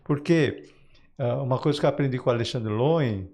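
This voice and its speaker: A man's voice: medium pitch, brisk, darkish tone. A man with a Brazilian Portuguese accent, speaking as a guest author in an interview, explaining ideas conversationally through everyday examples with frequent check-in tags.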